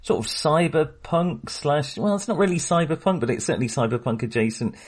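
A man's voice: on the high side, lively intonation, fairly quick, breathy edge. A middle-aged man hosting a casual film discussion podcast with wry, ironic humour. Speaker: podcast host.

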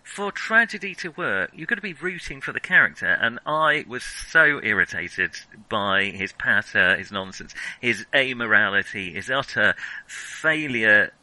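A man's voice: lowish voice, expressive, measured pace, pushed and bright, no echo, low-quality recording. A man co-hosting a film podcast, giving opinionated, analytical and wry views in casual discussion.

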